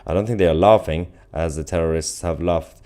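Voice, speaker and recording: A man's voice: deep voice, fairly quick, dark tone. A man hosting a live stream in an informal, off-the-cuff way, reading out viewer comments and giving casual commentary.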